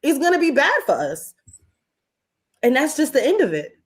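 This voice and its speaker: A woman co-hosting a podcast who speaks forcefully and indignantly, with profanity. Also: high-pitched, huge pitch swings, moderate pace, a little hiss or hum. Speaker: podcast co-host. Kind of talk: heated podcast commentary.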